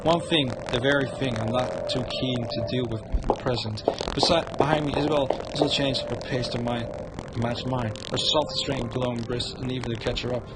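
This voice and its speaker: A man livestreaming a visual novel and reading its narration aloud in a halting, stumbling way. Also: mid-range voice, fairly even intonation, very noisy. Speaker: game streamer. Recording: loud music under the voice.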